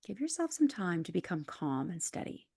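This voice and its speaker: Low-voiced woman guiding meditation. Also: sing-song, moderate pace, vocal fry, darkish tone, slightly rough, no echo, studio quality. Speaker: yoga nidra instructor. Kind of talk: guided meditation, scripted instructions.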